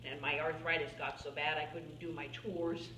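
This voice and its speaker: A woman playing an elderly, folksy farm-woman painter in a theatrical monologue, halting and musing, plainspoken and wry as she reminisces. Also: sits low, little pitch movement, pushed and bright. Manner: halting, musing, with small chuckles and pauses. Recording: roomy, distant mic, faint background noise.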